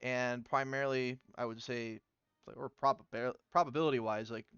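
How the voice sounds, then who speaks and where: A man's voice: mid-range voice, steady tone, moderate pace, a bit bright, clean tone, dry recording, lo-fi sound. Laid-back man casting a game. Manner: chatty, laid-back, filler-heavy. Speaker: tournament caster. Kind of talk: casual game commentary.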